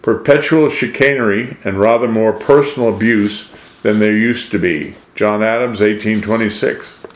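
An older American man speaks in a somewhat low voice with plenty of room echo around it. He preaches in monologue, deliberately and with emphasis, moving between quotations and his own commentary. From New England, USA. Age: 60s or older. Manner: deliberate, emphatic, rhetorical questions. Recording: roomy, echoing voice.

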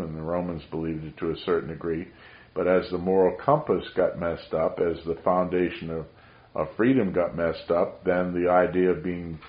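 A very low-pitched man preaches in an earnest, rambling way, his carrying voice ringing around a roomy space. The recording sounds muffled and dull. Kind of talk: sermon.

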